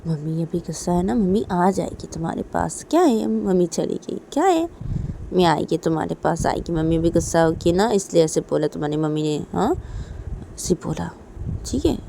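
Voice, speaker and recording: A soothing mother in a spoken story, played by a woman, coaxes a child with repeated little questions. The voice is mellow, with noticeable noise behind it. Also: on the low side, lively intonation, moderate pace, creaky. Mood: coaxing. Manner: coaxing, repetitive questioning.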